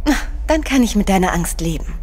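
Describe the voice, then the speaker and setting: A woman's voice: medium pitch, big pitch swings, a little fast, darkish tone. An actress playing an investigator's tender, direct female companion in a crime audio drama, speaking in an intimate way.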